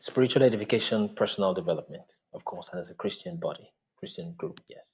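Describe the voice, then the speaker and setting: A man's voice: low voice, quick pace, husky, rough recording. A man whose first language is Yoruba, speaking earnestly as an interview guest over a video call, with frequent fillers.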